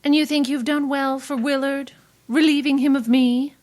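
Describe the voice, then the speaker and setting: A woman's voice: high voice, steady tone, moderate pace, a little mellow, natural voice. A woman playing a hot-tempered, haughty young woman in her twenties in an old-time radio drama, speaking in a clipped, theatrical way. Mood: indignant. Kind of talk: old-time radio acting before a live audience.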